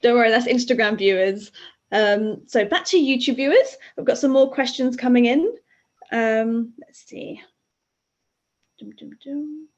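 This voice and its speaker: A young woman hosting a livestream in a chatty, gushing way, with "like" scattered through her sentences. Her voice sits a little high. The sound is dull and lo-fi. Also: unhurried. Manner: chatty and gushing, many "like" fillers. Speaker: livestream host.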